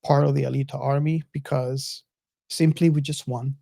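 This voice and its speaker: A podcast host talking casually to listeners and co-hosts, haltingly and searching for words. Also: medium pitch, fairly even intonation, vocal fry, mellow, no room sound, clean studio sound.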